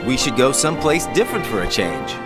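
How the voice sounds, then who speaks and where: A man's voice: mid-range voice, big pitch swings, quick pace, loud music behind the voice, natural voice. An actor playing a cocky, friendly young man in a video game, casual and upbeat in his delivery. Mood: upbeat, eager. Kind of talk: scripted video game voice acting.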